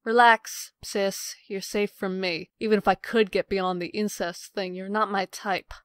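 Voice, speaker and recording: A rebellious, sarcastic sister voiced by a woman in a smooth, clean voice of middle pitch. She is flippant and snide in comic dub style, with a dry recording. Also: level tone, unhurried, fairly bright tone, no echo, lightly acted. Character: brash, sarcastic, rebellious younger-sounding sister.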